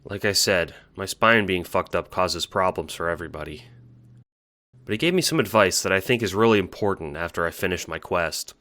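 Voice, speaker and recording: A man's voice: sits low, fairly quick, pushed and bright. A man playing a meek, earnest young male troll who talks with a hesitant, apologetic stammer in an audio drama monologue. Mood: earnest, nervous. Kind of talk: audio drama monologue, recounting adventures as a log.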